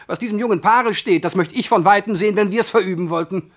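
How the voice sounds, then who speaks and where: An actor playing a gruff, unromantic middle-aged servant husband in a comic verse radio drama, brusque and mocking. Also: male voice, high voice, fast talker, natural voice. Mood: mocking, exasperated.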